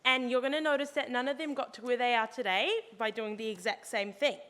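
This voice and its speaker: A woman's voice: fairly high pitch, steady tone, brisk, a bit bright, in a large hall. A young New Zealand woman, a student, presenting to an audience in an upbeat, encouraging way. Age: young adult.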